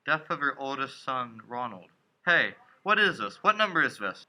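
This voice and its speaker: A man's voice: medium pitch, very animated, moderate pace, pushed and bright, poor audio. A young man playing a haunted, frightened traveler in a radio play, telling his story in a brooding, dramatic way. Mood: alarmed, bewildered. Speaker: student actor. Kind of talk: radio play acting, dramatic first-person narration.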